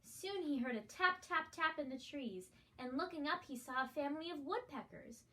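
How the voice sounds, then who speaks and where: A woman's voice: high-pitched, normal speed, bright, forceful tone, a little hiss or hum. A female guest reader reading a children's picture book aloud.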